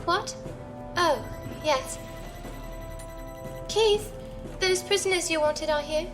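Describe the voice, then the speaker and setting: A woman playing a dreamy, absent-minded female leader in an audio drama, vague and halting. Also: very high-pitched, music in the background. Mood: distracted.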